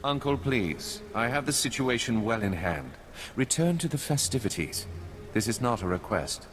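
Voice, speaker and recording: A man's voice: on the low side, lively intonation, fairly bright tone. Courtly, commanding nobleman. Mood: polite, firm. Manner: formal, measured, courtly. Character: suave, commanding male head of noble house. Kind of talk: video game dialogue. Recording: background music under the voice.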